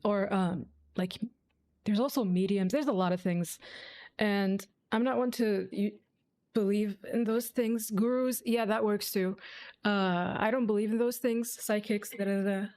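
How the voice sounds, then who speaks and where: A woman's voice: medium pitch, steady tone, unhurried, a little mellow. A woman streamer giving casual, sceptical commentary on a game, sardonic and free with swearing. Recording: game music behind the voice.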